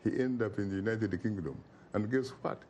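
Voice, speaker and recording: Low, mellow man, oratorical. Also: brisk, slight background noise. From Uganda. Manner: emphatic and oratorical, repeats phrases for effect. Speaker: interview guest. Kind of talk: impassioned interview talk.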